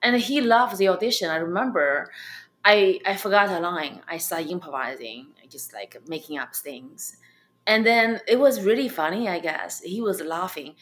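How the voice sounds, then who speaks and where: A woman's voice: fairly low pitch, expressive, a bit bright. Chinese-accented woman telling her story. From China. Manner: halting, many fillers and restarts. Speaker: interview guest, comedian. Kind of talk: casual interview storytelling.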